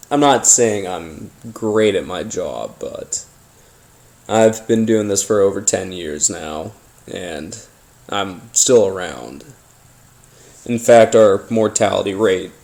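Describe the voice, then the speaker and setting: A man hosting a paranormal podcast, telling his story informally in a halting, rambling, conspiratorial way. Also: fairly low pitch, darkish tone. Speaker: podcast host. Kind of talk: informal first-person storytelling monologue, paranormal audio drama.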